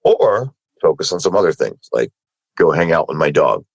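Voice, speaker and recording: Very deep, gravelly-voiced man. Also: big pitch swings, normal speed, no echo. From Sri Lanka, moved to America as a child. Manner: informal and candid, often checks in with "you know". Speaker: panelist, voice actor. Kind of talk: casual, candid personal conversation.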